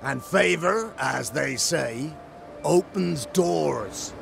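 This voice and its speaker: High-pitched, wry fort sentry. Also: male voice, expressive, extremely slow, creaky, a bit bright, soft background music, full character voice. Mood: coaxing. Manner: wry, folksy, old-fashioned turns of phrase. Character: chatty, wary male fort sentry, stubbornly dutiful. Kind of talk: dramatic video game dialogue.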